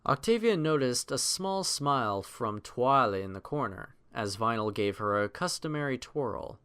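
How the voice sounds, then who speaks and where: A man reading a scripted story as narrator, in an expressive, literary delivery. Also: medium pitch, moderate pace, smooth.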